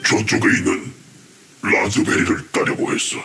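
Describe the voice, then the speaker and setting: A man's voice: normal speed, bright, forceful tone, a little hiss or hum, close to an everyday voice. An actor playing a hapless, flustered henchman in an anime-style game, whiny, defensive and protesting.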